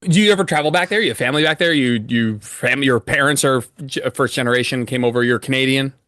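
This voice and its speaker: A man's voice: mid-range voice, fast talker, sharp tone, no room sound, studio quality. A man hosting a podcast, asking long, loosely strung questions with restarts. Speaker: podcast host. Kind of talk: casual interview chat.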